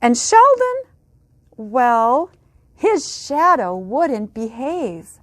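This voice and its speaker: Woman librarian, story-time reader, lively sing-song pitch, unhurried, dry close sound. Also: no echo. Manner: animated, with whispered asides. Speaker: children's librarian. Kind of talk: children's story time reading.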